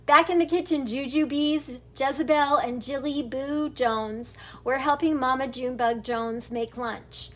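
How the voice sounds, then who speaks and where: High-pitched, unhurried older woman reading a storybook. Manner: giggly, informal. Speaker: grandmother reading children's books aloud. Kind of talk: storybook read-aloud with chatty asides.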